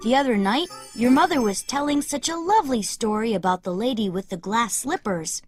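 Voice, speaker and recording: A woman voicing a cocky, excitable young boy who never grows up in an animated children's story, boastful and blunt. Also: on the high side, fairly quick, faint music behind, lightly acted. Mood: wistful, eager.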